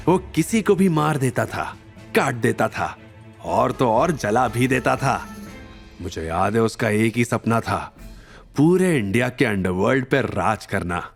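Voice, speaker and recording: A man voicing a boastful reformed underworld hitman in an audio drama, speaking in a dramatic, awed storytelling manner. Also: medium pitch. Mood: reminiscing.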